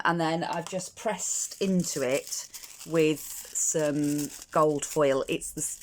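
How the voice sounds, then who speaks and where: A middle-aged woman with a croaky voice, chatting casually through a crafting tutorial at home, hesitant and full of ums and restarts. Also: deep voice. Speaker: crafter demonstrating card making.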